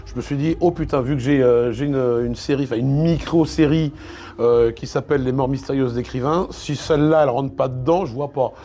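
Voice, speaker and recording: A man's voice: medium pitch, warm tone. A man talking casually as an interview guest, opinionated and colloquial, with emphatic repetitions. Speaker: interview guest, literature video creator.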